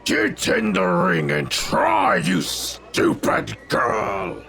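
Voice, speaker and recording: A man playing a boastful, bullying giant street fighter in an audio drama, taunting and gravelly. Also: low-pitched, wildly swooping pitch, extremely slow, bright, forceful tone, heavily acted. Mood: angry, indignant. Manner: taunting, speaks of himself in third person.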